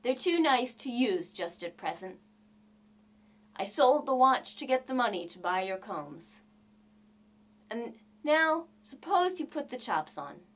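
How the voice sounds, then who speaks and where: Easygoing young husband for a storybook played by a woman, affectionate, plain-spoken, with animated swings in intonation. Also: fairly high pitch, slow, full character voice. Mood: fond, resigned. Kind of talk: storybook character dialogue.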